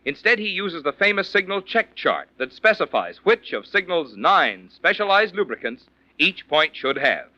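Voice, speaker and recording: A man doing polished, emphatic radio announcing and sponsor pitches in a 1940s style. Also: big pitch swings, moderate pace, sharp tone, no room sound. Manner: polished, emphatic sales delivery. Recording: dull, dry 1940s radio recording.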